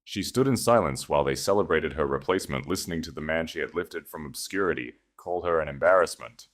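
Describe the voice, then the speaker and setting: Kalın sesli erkek hikâye anlatıcısı. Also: male voice, extremely low pitch, fast. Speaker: audiobook narrator. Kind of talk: dramatic scripted fiction narration.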